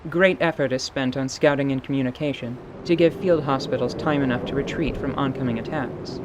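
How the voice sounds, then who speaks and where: Stoic veteran mercenary for fantasy audio drama male actor, mid pitch, dry, formal, matter-of-fact, noisy backdrop. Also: natural voice. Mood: stoic, explanatory. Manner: dry, formal, deliberate speech. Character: battle-worn winged mercenary, stoic, wry and matter-of-fact.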